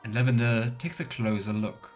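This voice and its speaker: A man streaming a video game with casual commentary and role-play asides, thinking out loud. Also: medium pitch, little pitch movement, moderate pace.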